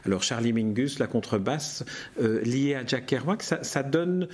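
A man's voice: mid-range voice, animated, unhurried, darkish tone, breathy edge. A man interviewing a guest, asking formal questions and often restarting as he searches for words.

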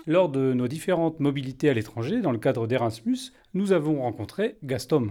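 A man's voice: mid-range voice, lively intonation, brisk. A man hosting a student radio show, reading mock definitions and interviewing a guest with some hesitation. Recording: music bed under the voice.

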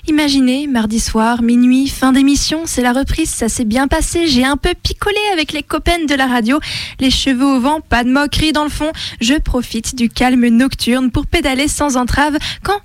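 A female radio host with a high voice and a French accent from France reads a humorous column. She tells it with wry mock indignation, in a close, dry studio sound. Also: no echo.

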